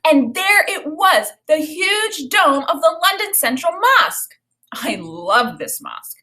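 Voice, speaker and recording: A woman with a fairly high voice reads a children's story like a teacher to a class. Her delivery is expressive and dramatic, with very lively intonation. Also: slow pace. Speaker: teacher reading aloud. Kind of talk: expressive children's story read-aloud with comments.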